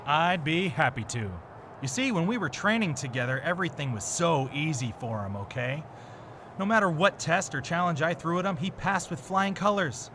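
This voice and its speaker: A man's voice: fairly high pitch, lively intonation, normal speed, lightly acted. A man playing a bitter, self-righteous wrestler giving a promo in a backstage interview for a video game. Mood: resentful. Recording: clear ambient background noise.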